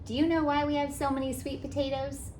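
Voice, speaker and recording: A woman voicing a kind, loving grandmother in a children's storybook, affectionate and gently instructive. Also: sits high, little pitch movement, fairly quick, slight background noise, voice pushed up, lightly acted. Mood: loving, teaching.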